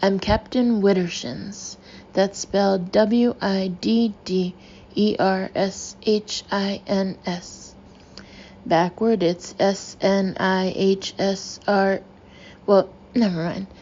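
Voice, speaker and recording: A woman voicing an excitable male sea captain who talks loudly, keeps correcting himself and tacks "aye" onto his sentences. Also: mid-range voice, slow pace, dull audio. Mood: indignant.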